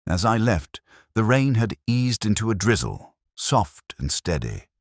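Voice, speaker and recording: A man narrating a detective story in the first person, with a gravelly voice and dry, hard-boiled delivery. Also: lowish voice, big pitch swings, unhurried, dry recording. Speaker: audiobook narrator.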